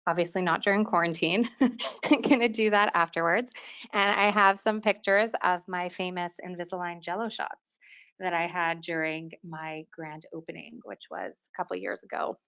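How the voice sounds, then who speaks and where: A woman in her thirties with a slightly low voice, lecturing to a webinar audience. She talks as an orthodontist in a clear, organized way, often leading into her points with "so". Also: normal speed, a bit bright, highs cut off. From Quebec, Canada. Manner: clear, organized delivery, often opening sentences with "so". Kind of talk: continuing-education webinar lecture.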